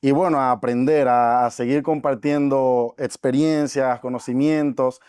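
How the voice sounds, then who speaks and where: A man's voice: mid-range voice, fairly even intonation, unhurried, a little mellow, smooth, no echo, clean studio sound. A man, a podcast guest talking earnestly and reflectively about his life, with frequent fillers. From Dominican Republic. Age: 20s. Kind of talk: casual interview talk.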